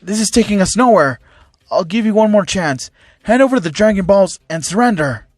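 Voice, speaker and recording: A man's voice: high-pitched, sing-song, moderate pace, breathy edge, no room sound, clean studio sound, heavily acted. A man voicing a blunt, good-hearted child fighter with a firm, direct way of talking. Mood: firm, irritated.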